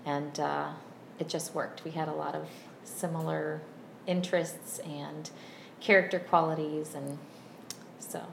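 A woman in her thirties from Virginia, chatting as a podcast guest in a friendly, unhurried way with many ums. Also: low voice, flat delivery, gravelly. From Virginia, USA. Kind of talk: casual interview chat. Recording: roomy echo, faint background hum.

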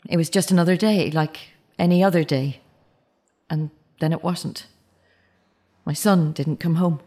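An actress playing a fragile, grieving middle-aged mother in a naturalistic audio drama, speaking in a halting, confessional way. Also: sits low, dark tone. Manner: halting, self-correcting, confessional. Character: grieving mother of a murdered teenage son, fragile.

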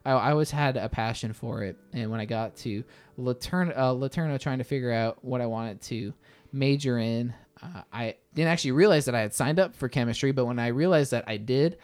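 A man in his thirties speaks as an interview guest at a medium pitch. His delivery is conversational and halting, with words often restarted, and the sound is dry with almost no room echo. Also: darkish tone, smooth.

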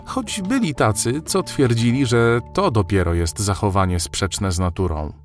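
A man narrating a scripted children's story in an expressive, wry way. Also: fairly low pitch, normal speed. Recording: faint music behind narration.

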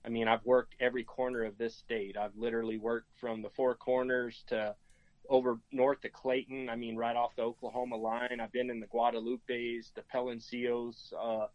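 A dry, slightly muffled recording of a middle-aged American man being interviewed. He speaks casually in a folksy, halting way. His pitch stays fairly flat from phrase to phrase. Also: dry recording. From New Mexico, United States. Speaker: podcast guest, game and fish officer. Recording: dry, dull lo-fi sound.

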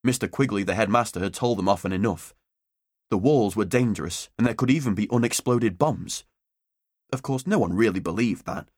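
A man's voice: lowish voice, brisk, husky, no echo, studio quality. A man reading a children's story as an audiobook narrator, in scripted narration.

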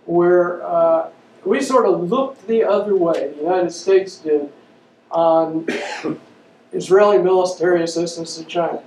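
Halting male lecturer, distant and echoey. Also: a little high, warm tone. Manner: halting, many fillers and restarts. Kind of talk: unscripted public lecture. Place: lecture room. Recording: dull, roomy, distant mic with faint noise.

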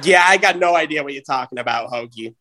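A man at mid pitch hosts a comedy wrestling show. He rants in an excitable, foul-mouthed way, piling on the swearing as he goes. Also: fast talker, background noise. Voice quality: profane, ranting, excitable. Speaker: host. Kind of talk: profane comedic wrestling rant.

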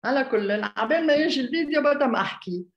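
A woman's voice: high-pitched, lively intonation, very fast, lo-fi sound. A woman raised in Jordan, an architect giving a conversational public talk, hesitant, with many fillers and restarts. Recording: echoey room.

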